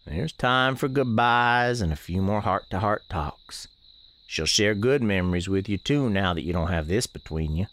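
A man narrating a radio play as a gentle country storekeeper, with folksy, colloquial phrasing. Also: on the low side. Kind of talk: first-person storytelling in a radio play.

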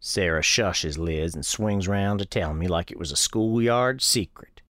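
A storyteller narrating a scripted radio tale in the first person, with a folksy, measured manner. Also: low-pitched, fairly bright tone, no echo, studio quality.

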